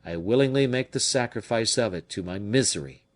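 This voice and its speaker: A man's voice: fairly low pitch, expressive, fairly quick, close to an everyday voice. A man reading an audiobook, voicing a heartbroken young male nobleman who speaks solemnly and earnestly. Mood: despairing.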